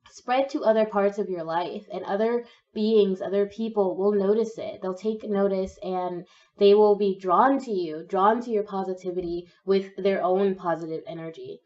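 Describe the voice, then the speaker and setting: A young woman with a cold and a slightly croaky voice, gently talking through a pick-a-card tarot reading. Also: medium pitch, distant mic, lo-fi sound. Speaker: tarot reader.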